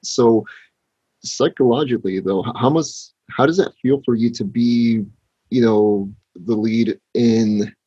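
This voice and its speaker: Husky man interviewing, dull lo-fi sound. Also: mid-range voice, unhurried, warm tone, no echo. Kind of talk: casual interview chat.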